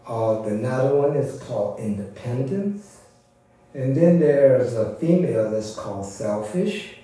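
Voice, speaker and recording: A man explaining a story informally to a congregation, in a conversational and unhurried manner. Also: medium pitch, expressive, warm tone. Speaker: church presenter introducing and recapping an audio drama. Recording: very echoey room, far from mic.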